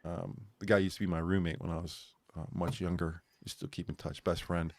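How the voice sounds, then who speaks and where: Low-pitched male co-host, rambling chat, dry close mic. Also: little pitch movement, a little fast, dry recording. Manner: rambling, laughs at own jokes. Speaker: podcast co-host. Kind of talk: casual chat.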